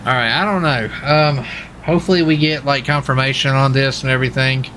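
A man's voice: mid-range voice, fairly even intonation, fairly quick, a bit bright, a little hiss or hum. An American man in casual gaming radio chatter, with a folksy, easygoing manner. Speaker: streamer playing a police officer in online roleplay.

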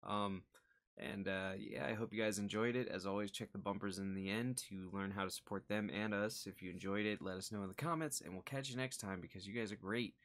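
A man's voice: on the low side, steady tone, a little fast, fairly bright tone. A young adult man giving casual reaction commentary, seated indoors in a small room. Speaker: reaction video host.

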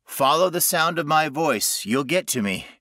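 A narrator plays a trapped young man in a horror audiobook. He calls out urgently in a raised, higher voice. The pitch moves a lot, and the sound is dry. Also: lively intonation, a little slow, pushed and bright, dry recording. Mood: uneasy. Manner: urgent, calling from a distance.

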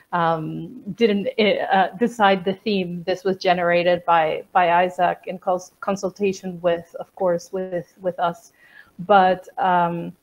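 A middle-aged woman moderates a museum Q&A from home, speaking in a measured way and often restarting her sentences. Her voice comes over a video call with dull, lo-fi sound. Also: medium pitch. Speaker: museum host.

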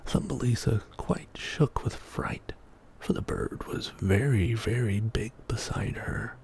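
A middle-aged man reading a bedtime story as ASMR in a soft, breathy whisper, hushed and soothing, close to the microphone in a small indoor studio. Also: mid-range voice. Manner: slow, hushed, soothing narration.

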